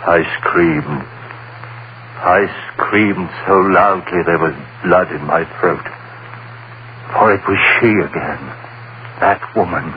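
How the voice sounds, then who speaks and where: A man's voice: deep voice, narrow, tinny audio. Haunted, dread-filled man, old radio horror. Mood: horrified, feverish. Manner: dramatic, halting, insistent. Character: haunted, anguished man of reason, unravelling in dread. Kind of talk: old-time radio horror acting, first-person narration. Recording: scratchy, band-limited old radio broadcast.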